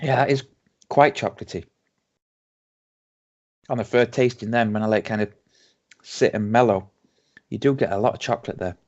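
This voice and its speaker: A man with a Yorkshire accent co-hosting a casual whisky tasting podcast. He reads tasting notes slowly and teases his friend. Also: on the low side, a little mellow. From Yorkshire, England. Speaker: podcast co-host. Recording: dull lo-fi home podcast audio.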